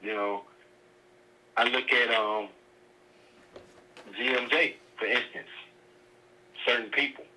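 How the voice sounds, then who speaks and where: A man calling in to a talk show, speaking hesitantly with many pauses and fillers. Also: medium pitch, steady tone, sharp tone. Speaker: caller. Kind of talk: phone-in call. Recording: tinny phone line.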